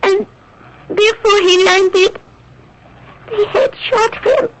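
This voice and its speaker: Young wartime resistance woman, very high voice, earnest and strained, radio play, clear background noise. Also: drawn-out words, heavily acted. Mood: distraught confessing. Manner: earnest, dramatic, emotionally strained. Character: young anguished French resistance woman smuggling children. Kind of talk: radio drama acting. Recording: noisy old radio broadcast recording.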